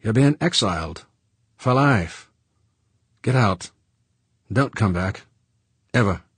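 A man voicing a gruff, worried male colony leader who speaks bluntly and curses. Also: on the low side, animated, slow pace, dry recording, voice pushed up, heavily acted. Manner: blunt, curt, swears.

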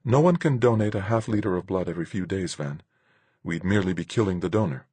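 A man performs a defiant, self-assured woman from an audiobook story, her voice lifted high and a little husky. She speaks firmly and sharply, with lively swings in pitch, like someone sure of her ground. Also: fast talker, no room sound. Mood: concerned. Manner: firm, sharp.

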